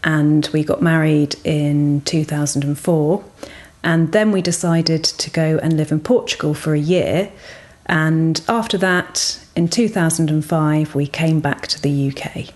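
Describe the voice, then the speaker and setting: A woman's voice: low voice, unhurried, darkish tone. A middle-aged woman giving a simple personal account of her life experiences. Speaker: interviewee.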